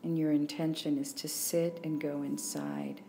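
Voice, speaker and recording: Female meditation guide, mellow and on the lower side, calm, unhurried delivery with roomy pauses between phrases, faint background noise. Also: gravelly. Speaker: meditation teacher.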